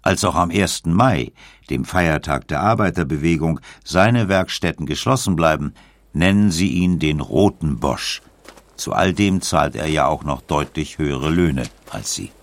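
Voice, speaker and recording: A man narrates a scripted documentary in a very low voice with plenty of gravel. He tells it in a measured way, and the sound is dry and close. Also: animated, dry recording.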